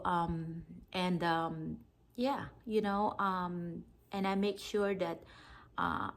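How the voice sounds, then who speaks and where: A woman in her thirties from the Philippines, chatting casually to camera in a rambling way, with many fillers and restarts. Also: fairly low pitch. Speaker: vlogger.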